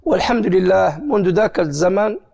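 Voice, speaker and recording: A man's voice: sits high, animated, a little fast, vocal fry, darkish tone, lo-fi sound. A man of about sixty with a Moroccan accent, earnestly and emphatically telling his own story in fairly formal Arabic as an interview guest. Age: around 60. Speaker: pilgrim interviewee.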